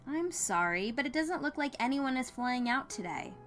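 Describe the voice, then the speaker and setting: A woman's voice: sits high, expressive, normal speed, a bit bright, pitched above the performer's own voice. A woman narrator puts on a small-town male clerk for an audio story. He is casual, helpful and apologetic, explaining things matter-of-factly, with music in the background. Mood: regretful. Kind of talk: dramatized audiobook character dialogue.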